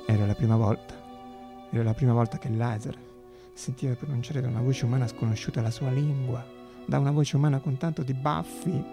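A man narrating a theatrical radio monologue as an actor, with dramatic pauses and repeated phrases. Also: medium pitch, steady tone, vocal fry, music in the background.

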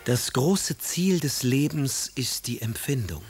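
An actor playing a passionate, melancholic and sardonic Romantic poet in a literary radio drama, with a husky voice. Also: male voice, mid-range voice, expressive, takes it slowly, over music. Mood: brooding, intense. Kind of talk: literary radio drama, reading letters aloud.

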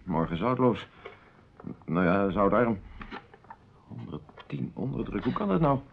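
An actor playing an absent-minded, irritable doctor and husband in a radio play, hesitant and blustering in manner. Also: male voice, fairly low pitch, lively intonation, a little slow, creaky, slight background noise. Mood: puzzled. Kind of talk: Dutch radio play dialogue. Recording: dull lo-fi radio play recording.

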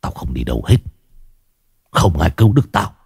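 A man voicing a gaunt, haunted old man who is half out of his mind, muttering low and slow. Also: very animated, gravelly, darkish tone, slightly rough, no echo, studio quality, full character voice. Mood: haunted dread.

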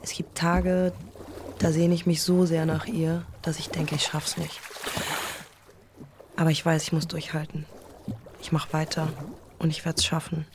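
A woman's voice: low voice, completely flat delivery, a little slow, pauses often, noisy background. An actress playing a young queer woman podcaster, hurt, introspective and tender, in a naturalistic audio drama with intimate inner monologue. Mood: quietly determined.

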